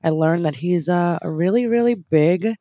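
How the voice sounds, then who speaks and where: A woman's voice: lowish voice, normal speed, warm tone, dry recording. Chatty young woman, dry close sound. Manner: chatty, giggly, eating. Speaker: podcast guest. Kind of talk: casual podcast chat.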